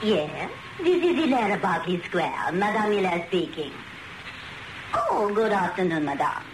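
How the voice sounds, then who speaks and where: An actress playing a gracious, formal salon proprietress in a radio drama, speaking with gushing politeness. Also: female voice, mid-range voice, sing-song, unhurried. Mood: polite, gushing. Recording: roomy, noisy radio drama recording.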